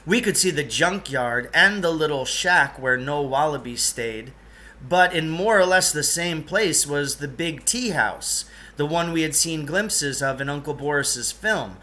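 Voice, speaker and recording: A man's voice: fairly high pitch, animated, unhurried, bright, forceful tone. A man reading a children's novel aloud in a storytelling style. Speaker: reader, teacher reading aloud.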